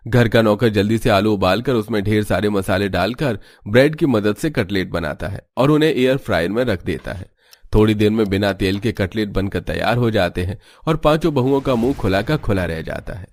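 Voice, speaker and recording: Low-voiced male storybook narrator. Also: moderate pace.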